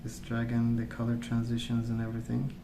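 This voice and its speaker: A man speaking softly in a hushed, unhurried ASMR ramble, musing as he goes. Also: mid-range voice, completely flat delivery, gravelly, reverberant, noisy background. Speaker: ASMR video host. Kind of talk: soft-spoken ASMR rambling while colouring.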